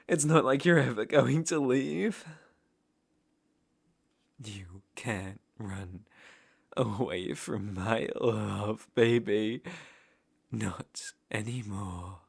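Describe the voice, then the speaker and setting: A British man playing an obsessive, menacing ex-lover in an ASMR roleplay, speaking with deliberate mock tenderness and possessive mockery. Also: fairly low pitch, creaky, husky, heavily acted. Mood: possessive, quiet. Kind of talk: ASMR roleplay audio drama, intimate second-person acting.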